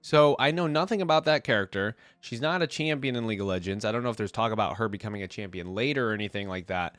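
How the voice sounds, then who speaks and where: A man's voice: on the low side, fast, a bit bright, no room sound. A man with a cold, rambling casually as he hosts a reaction video. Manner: rambling, full of fillers. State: congested with a cold.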